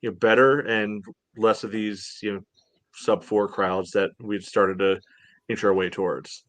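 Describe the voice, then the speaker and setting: A man's voice: fairly low pitch, level tone, unhurried, fairly bright tone, no room sound. A man co-hosting a sports podcast, talking casually in short bursts broken up by filler phrases. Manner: peppers talk with "you know". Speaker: soccer podcast co-host.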